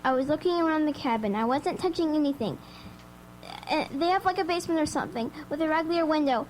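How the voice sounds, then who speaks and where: Woman playing a very high-pitched, frightened young girl. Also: lively intonation, quick pace, darkish tone. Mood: shaken, defensive. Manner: hesitant, pleading, stammering. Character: frightened, defensive young girl on family vacation. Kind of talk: live radio drama acting. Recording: noisy live radio recording, faint hum.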